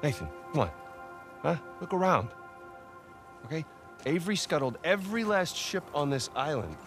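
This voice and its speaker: A man's voice: fairly high pitch, big pitch swings, normal speed, fairly bright tone. An American man voicing a stubborn, restless male treasure hunter in a video game cutscene, urgent and persuasive. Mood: insistent, excited. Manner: urgent, insistent, stammering when pushed. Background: music.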